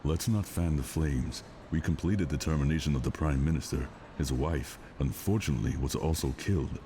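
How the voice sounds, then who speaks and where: A man playing a steady senior agent who keeps the peace in a drama, measured and calming. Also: extremely low pitch, slight background noise. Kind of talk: dramatic dialogue.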